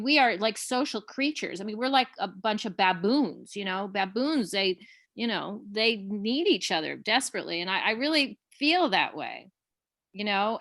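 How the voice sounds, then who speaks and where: Bright-voiced chatty woman playwright. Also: mid-range voice, no echo, clean studio sound. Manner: chatty, halting, laughs often. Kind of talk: casual conversation.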